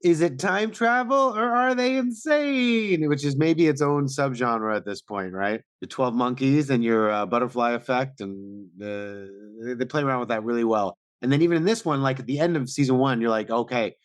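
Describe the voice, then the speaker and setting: A man's voice: medium pitch, moderate pace, hardly any pauses, clean tone, no echo. Easygoing man in casual banter, dry sound. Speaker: podcast co-host.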